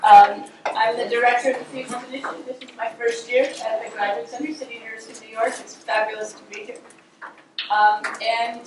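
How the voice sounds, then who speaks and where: A middle-aged woman directing a university program, opening a workshop with a casual talk, her voice weak and strained, full of ums and restarts. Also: on the high side. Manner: conversational, many ums and restarts. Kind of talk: welcoming talk to a live audience. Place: university seminar room. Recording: echoey room, voice from far off, clear noise.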